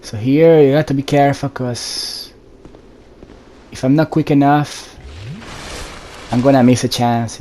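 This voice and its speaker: A man talks through a video game in a casual, instructive way, speaking straight to the viewer. His voice is mellow and dark, with very little rise and fall. Faint game ambience and background noise sit behind him. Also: medium pitch. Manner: instructive, addresses viewers directly. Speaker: gaming video host. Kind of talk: casual solo gameplay commentary.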